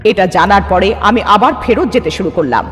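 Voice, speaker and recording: A woman's voice: medium pitch, expressive, normal speed, lightly acted. A woman playing a distressed young sorceress, narrating her ordeal in the first person in an earnest, dramatic cartoon style. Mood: grave, dramatic. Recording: long reverb under loud music.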